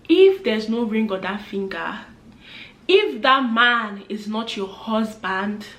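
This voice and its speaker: A woman's voice: on the high side, animated, takes it slowly, fairly bright tone. A young woman in her twenties giving earnest, chatty advice to camera, acting out the voices she quotes. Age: 20s. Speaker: vlogger. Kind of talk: casual advice talk to camera. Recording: echoey room.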